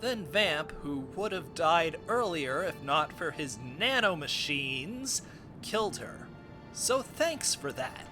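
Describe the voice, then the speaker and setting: Neurotic geek scientist for game parody , male actor: high voice, snide, bitter, complaining. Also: very animated, slow pace, music in the background. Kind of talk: comedic video game parody dub.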